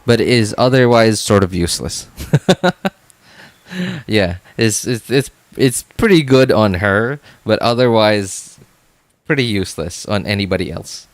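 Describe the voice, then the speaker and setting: A man with a fairly low voice chats as a live game streamer. The sound is dry, and his delivery is informal, repeating and restarting phrases as he responds to viewers. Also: dry recording. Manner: halting, repetitive, informal. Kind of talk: casual live-stream chat, answering viewers.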